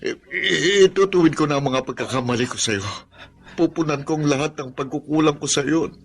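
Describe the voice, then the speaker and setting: A man's voice: fairly high pitch, fairly quick, natural voice. An actor in a Filipino radio drama playing a sickly, proud father with an earnest, emotional delivery and a Filipino accent. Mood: anguished remorse. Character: sickly, proud, defiant father who turns remorseful.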